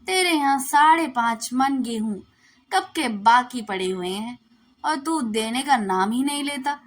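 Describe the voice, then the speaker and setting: A woman's voice: high-pitched, animated, unhurried. A woman voicing a stern, grasping male village priest turned moneylender, accusing and unyielding, in a storybook reading.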